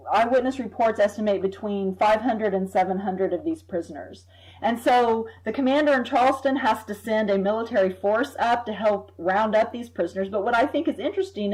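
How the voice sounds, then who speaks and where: Measured woman historian explaining. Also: mid-range voice, a little mellow, low-quality recording. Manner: measured, thoughtful, repeats words while thinking. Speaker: history professor, guest. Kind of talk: expert explaining in an interview.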